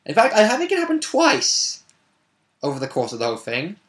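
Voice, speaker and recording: A man's voice: high-pitched, wildly swooping pitch, a little fast, a bit bright. A man telling a casual, unscripted recap of a tabletop roleplaying session, wordy and rambling, with asides and self-corrections.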